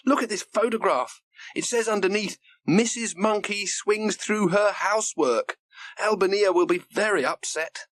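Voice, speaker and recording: A man's voice: sits high, measured pace, fairly bright tone, no echo, pitched above the performer's own voice. Man voicing a sulky young boy. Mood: knowing. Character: sulky, stubborn young schoolboy.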